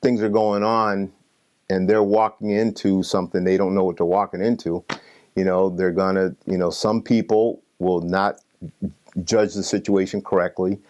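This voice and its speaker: A man's voice: low-pitched, little pitch movement, normal speed, darkish tone. A man talking reflectively in an interview, earnest, repeating himself for emphasis.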